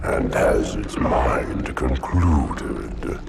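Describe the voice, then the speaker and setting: An actor voicing a colossal ancient parasitic creature in a video game, speaking in grave, ominous, guttural riddles. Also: male voice, expressive, gravelly, full character voice. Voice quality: deep, guttural, rumbling. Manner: slow, booming, oracular. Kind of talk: video game cutscene acting. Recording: loud music behind the voice.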